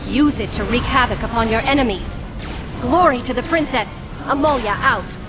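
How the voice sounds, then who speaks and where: An actress playing a proud, devout warrior officer in a video game, giving commanding, declamatory orders. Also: female voice, a little high, moderate pace, over music, lightly acted. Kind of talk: scripted video game cutscene acting.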